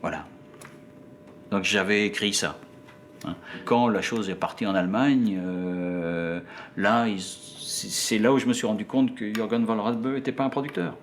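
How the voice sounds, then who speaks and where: A man being interviewed, recalling his work in a halting way with frequent pauses. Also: faint music behind. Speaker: interviewee, screenwriter. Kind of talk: recollections in an interview. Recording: clear background noise with low rumble.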